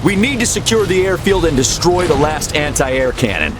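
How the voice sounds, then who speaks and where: An actor playing a bold, decisive military captain in a game cutscene, with a brisk, confident, commanding delivery. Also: male voice, fairly high pitch, sing-song. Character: bold, decisive young male army captain rallying his men. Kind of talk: video game cutscene acting.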